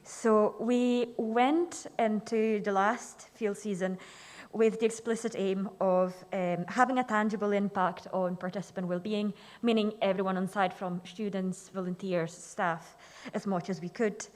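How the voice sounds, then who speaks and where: A young woman from Switzerland speaks at a talk in a mid-range voice. She is measured and careful, pausing on occasional fillers. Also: medium pitch, fairly even intonation, a little mellow. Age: young adult. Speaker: student presenter. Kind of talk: conference presentation. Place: lecture hall.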